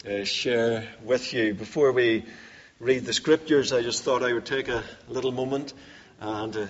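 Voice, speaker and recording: A middle-aged man with a Northern Irish accent, the assistant director of a mission, addressing a church congregation in a measured, earnest way with frequent "uh" pauses. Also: medium pitch, dull audio, a little hiss or hum. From Northern Ireland.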